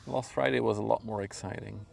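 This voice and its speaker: Gravelly, low-voiced Flemish man livestreaming outdoors. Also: fairly even intonation, brisk, darkish tone. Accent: Belgian Dutch (Flemish). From Belgium. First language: Dutch. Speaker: live streamer, drone pilot. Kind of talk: casual livestream chat and commentary. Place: outdoors on a riverbank. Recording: faint outdoor background noise.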